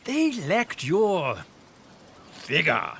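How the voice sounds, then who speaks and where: Awkward, formal moneylender, lively pitch. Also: male voice, gravelly, slight background noise, heavily acted. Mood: hesitant, businesslike. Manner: halting, formal, mild-spoken. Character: awkward, formal male moneylender, hesitant and apologetic. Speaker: game character actor. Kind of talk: dramatic game cutscene dialogue.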